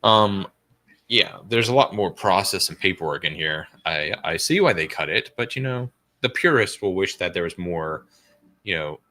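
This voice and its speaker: A man's voice: deep voice, expressive, moderate pace, pushed and bright. A man co-hosting a movie watch party with casual, slangy joking banter and some swearing.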